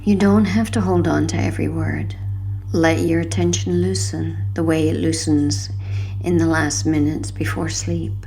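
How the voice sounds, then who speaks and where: Slow, low-pitched woman guiding sleep hypnosis. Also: vocal fry, slight background noise. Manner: soothing, hushed, unhurried with long pauses. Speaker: hypnosis audio guide. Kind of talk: guided sleep hypnosis narration.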